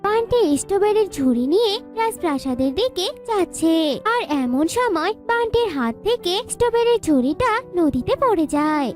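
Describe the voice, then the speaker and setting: A woman's voice: extremely high pitch, very animated, normal speed, few pauses, darkish tone, faint music behind. A woman narrating a children's cartoon story in a scripted storytelling style.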